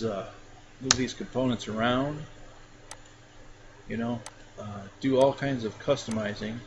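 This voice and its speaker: A man in a relaxed screen-recorded tutorial, walking the listener through each step with easy fillers. His voice sits in a middle pitch and comes through a dull, lo-fi mic with steady noise behind it. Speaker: software tutorial instructor.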